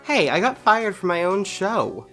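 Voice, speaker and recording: A man's voice: high voice, big pitch swings, measured pace, a bit bright, soft background music, heavily acted. A man playing a petulant, dramatic superhero playwright in an audio drama. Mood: sulky, petulant. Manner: petulant, melodramatic. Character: sulky, dramatic fired superhero playwright, male.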